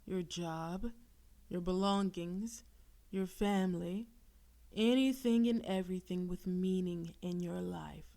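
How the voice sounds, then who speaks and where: A woman voicing a possessive, seductive female demon in an intimate audio roleplay, teasing and coaxing with theatrical menace, sometimes breathy. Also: on the low side, slow pace, mellow. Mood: mocking, wicked. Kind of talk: intimate audio roleplay, scripted monologue to listener.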